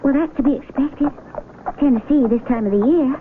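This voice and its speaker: A woman's voice: high-pitched, fairly quick, noisy background, lightly acted. An actress playing a kindly, patient American woman, soothing but slightly uneasy, in a radio drama. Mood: patient, informative. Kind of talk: 1970s radio drama acting. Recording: tinny radio recording with music behind.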